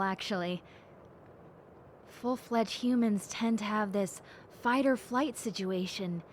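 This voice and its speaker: Woman voicing a gentle, high-voiced, unhurried bat girl. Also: slight background noise. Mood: grateful, warm. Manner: soft-hearted, earnest, reflective, unhurried. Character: gentle, cheerful, earnest young female bat girl. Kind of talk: intimate audio roleplay, speaking to the listener.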